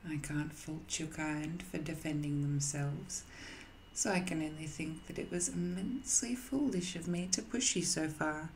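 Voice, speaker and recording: A woman playing an ancient, banished magical villain in an audio roleplay, musing and seductive, with hesitant, intimate delivery that often softens toward a whisper. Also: sits low, a little slow, a little hiss or hum, poor audio. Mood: reflective, wistful. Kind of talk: scripted audio roleplay monologue, intimate and addressed to the listener.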